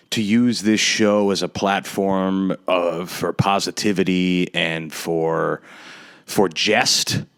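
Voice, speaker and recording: Deep-voiced young man ranting sardonically. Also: dry recording, clean studio sound. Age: 20s. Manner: sardonic, ranting, drawn-out emphatic delivery. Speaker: podcast host. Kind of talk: solo podcast rant.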